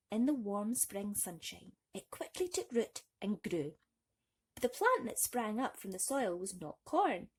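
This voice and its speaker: Female children's storyteller, medium pitch, soft husky breathiness, expressive bedtime-reading delivery.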